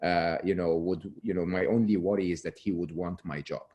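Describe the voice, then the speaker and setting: A man's voice: low voice, steady tone, brisk, warm tone. A man, a guest talking earnestly over a remote call, often restarting and dropping his voice to close a point. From Egypt. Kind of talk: relaxed interview talk.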